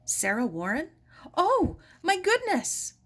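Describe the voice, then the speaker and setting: A nervous young woman, as a female reader plays her in a storybook. Her voice is lifted high above a narrator's register, and she is polite but anxious, with exclamations breaking through. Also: wildly swooping pitch, slow, heavily acted. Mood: startled, anxious.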